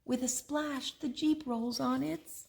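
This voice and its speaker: A woman reading a rhyming picture book aloud to children, expressively, pausing for a child to join in. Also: sits high, gravelly. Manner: expressive, prompting a child to fill in rhymes. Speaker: story reader and co-host.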